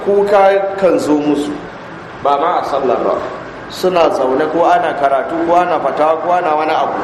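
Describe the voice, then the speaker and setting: Hausa-accented man lecturing in an echoey mosque. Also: sits high. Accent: Nigerian Hausa. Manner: reads Arabic then explains slowly. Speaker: Islamic scholar teaching hadith. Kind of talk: mosque lecture explaining Arabic text in Hausa. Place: large mosque hall. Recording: echoey hall recording with background noise.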